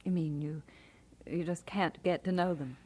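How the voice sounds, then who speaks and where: An actress playing a gentle, supportive minister's wife in an audio drama, with a soft, husky voice. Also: female voice, fairly low pitch, steady tone, normal speed. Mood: gentle, encouraging.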